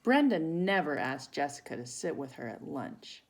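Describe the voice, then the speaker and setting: A woman reading a children's story aloud expressively, pausing to chat with young listeners. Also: deep voice, sing-song, vocal fry. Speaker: volunteer storybook reader for children.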